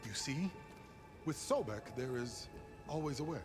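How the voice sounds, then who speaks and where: An actor playing a formal, ingratiating aide to a cult leader in a video game, with a portentous way of talking. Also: male voice, medium pitch, lively intonation, measured pace, over music, natural voice. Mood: portentous, pleased.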